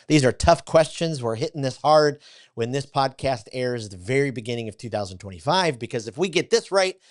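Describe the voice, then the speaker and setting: A man hosting a podcast, gushing and eager, often stumbling over repeated words as he starts a thought again. His voice sits at an ordinary middle pitch. The sound is dry and close, with no room around it. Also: no room sound. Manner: effusive, repeats words when restarting. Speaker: podcast host. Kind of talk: enthusiastic podcast interview.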